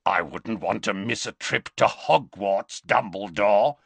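A man voicing a savage male werewolf with a rasping, barking voice, slow and menacing, in a dry storybook reading. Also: on the low side, a bit bright, no echo, heavily acted. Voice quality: rasping bark.

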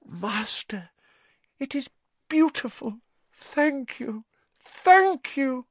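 A snivelling, cringing male servant, played by a man reading a storybook, pitched far up and whimpering each plea. The voice sounds strained and thin, with a dry, close reading sound. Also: animated, drawn-out words, no echo, full character voice. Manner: whimpering, pleading.

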